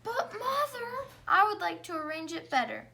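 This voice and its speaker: Pouty high-pitched little schoolgirl played by a woman. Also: sing-song, moderate pace, gravelly, heavily acted. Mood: pleading. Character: pouty, grumbly, disobedient schoolgirl from a storybook. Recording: faint background noise.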